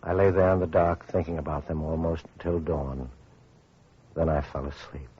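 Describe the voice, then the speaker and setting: An actor playing a weary, grave male survivor of atomic war, narrating a radio drama in a sombre, measured way. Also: rumbling low, steady tone, noisy background. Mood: sombre, reflective. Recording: muffled old radio recording, music behind.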